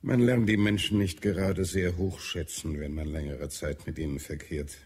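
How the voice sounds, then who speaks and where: An actor playing an irritable, weary Martian health adviser in an audio drama, speaking gravely. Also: male voice, low-pitched, level tone, darkish tone. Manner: grave, edgy, deliberate. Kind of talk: German audio drama acting.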